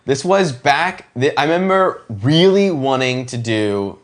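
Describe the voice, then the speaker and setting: A man's voice: mid-range voice, lively intonation, unhurried. A man giving casual, conversational commentary as a show host.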